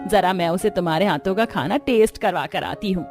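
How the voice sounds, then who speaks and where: A woman's voice: on the low side, brisk, a little mellow, lightly acted. A woman voicing a proud, caring middle-aged village mother-in-law in a cartoon family drama, homely in manner. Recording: music behind the voice. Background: music.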